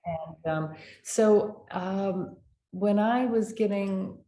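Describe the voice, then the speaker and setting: An older American woman, a dental hygienist, telling animated stories in a casual interview. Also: mid-range voice, extremely slow. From United States. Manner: animated anecdotes with laughter. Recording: echoey room, faint noise.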